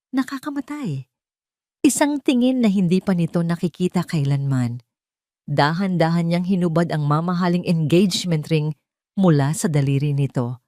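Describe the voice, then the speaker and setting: A woman narrating a scripted dramatic story solemnly, in short, deliberate phrases. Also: fairly low pitch, a little mellow, no room sound, studio quality.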